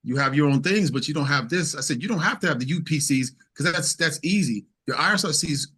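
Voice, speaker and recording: A man's voice: a little high, expressive, fairly quick, bright, forceful tone, slightly rough. A man speaking casually as an interview guest and singer-songwriter, emphatic, repeating phrases and quoting conversations as he tells anecdotes.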